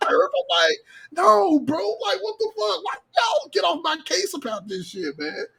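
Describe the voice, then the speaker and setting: A man's voice: extremely high pitch, unhurried, gravelly, poor audio. An American man hosting a podcast, telling comic stories among friends in a profane, slangy way and acting out what people said. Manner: animated, profane, slangy, acts out quoted exchanges. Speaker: podcast host.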